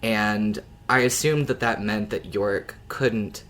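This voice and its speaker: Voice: medium pitch, fairly even intonation, slow pace, fairly bright tone. A podcast co-host chatting casually, rambling informally through their thoughts with the odd swear word. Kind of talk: casual podcast chat about an audio drama.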